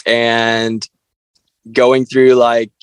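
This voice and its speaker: A man with a mid-pitched voice talks informally as a guest in an interview. His delivery is halting and conversational, full of "like" as he works out his thoughts. The sound is close and dry. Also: monotone, clean tone, no echo. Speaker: interview guest, band frontman. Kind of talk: casual interview chat.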